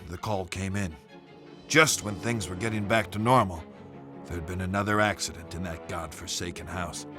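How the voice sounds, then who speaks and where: An actor playing a world-weary, suspicious police detective in a video game, blunt and deliberate with a folksy, hard-boiled manner. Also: male voice, fairly low pitch, pushed and bright. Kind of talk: video game cutscene acting and noir-like narration.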